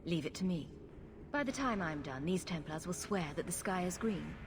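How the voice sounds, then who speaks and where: An actress playing a wry, self-assured adventuring heroine in a video game, sardonic and glib. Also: female voice, fairly low pitch, animated, normal speed, noisy background. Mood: wry, confident.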